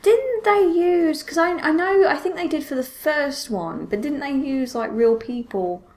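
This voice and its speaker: A woman's voice: high-pitched, expressive, a little slow, a little mellow. A woman co-hosting a podcast, chatting casually about films. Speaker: podcast co-host.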